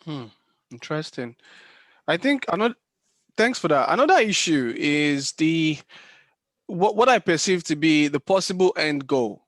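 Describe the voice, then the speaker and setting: A man hosting an interview in a conversational, halting way, thinking aloud as he goes. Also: on the high side, sing-song, a bit bright, no room sound, clean studio sound. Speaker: podcast host.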